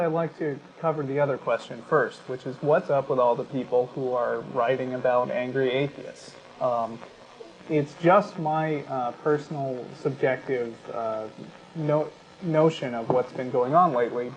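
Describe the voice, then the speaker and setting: A man co-hosting an unscripted talk show, explaining his views in a measured, halting way. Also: medium pitch. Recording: dull lo-fi sound with clear background noise.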